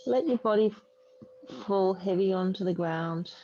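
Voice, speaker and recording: A woman yoga teacher leading a guided relaxation meditation in a soothing, unhurried way with long pauses. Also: mid-range voice, warm tone. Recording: dull muffled audio, faint background noise.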